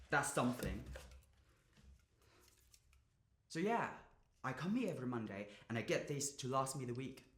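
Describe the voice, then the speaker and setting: A man's voice: medium pitch, bright, forceful tone. A young man acting a dramatic monologue as a drained, burdened young man, confiding with bitter, volatile emotion. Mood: subdued, weary. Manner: confessional, sweary, emotionally volatile. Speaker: drama student actor. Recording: echoey room.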